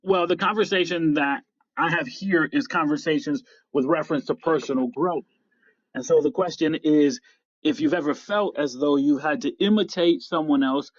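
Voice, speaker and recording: Higher-voiced American man hosting, dull dry audio. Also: moderate pace, no room sound. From upstate New York, United States. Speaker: podcast host. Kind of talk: motivational podcast talk.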